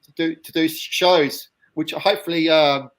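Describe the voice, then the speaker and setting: A man from the UK interviewing a band, talking haltingly with lots of restarts and fillers. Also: fairly high pitch, fairly even intonation, poor audio. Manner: halting, stammering, many restarts. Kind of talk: casual interview.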